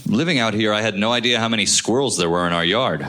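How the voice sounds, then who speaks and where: An actor as a low-voiced, gentle novelist husband. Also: male voice, quick pace. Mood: wry, apologetic. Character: mild, gentle, apologetic husband, an aspiring novelist. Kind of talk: live staged radio play acting.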